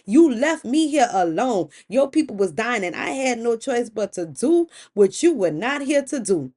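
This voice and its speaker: A woman's voice: a little high, steady tone, moderate pace, creaky, darkish tone, voice pushed up. A woman voicing an emotional, earnest goddess wife in a spoken myth, with a dramatic, pleading manner. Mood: defiant.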